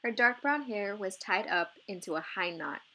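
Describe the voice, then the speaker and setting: A woman's voice: medium pitch, expressive, moderate pace, pushed and bright. Woman reading a children's novel aloud. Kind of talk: expressive storybook read-aloud.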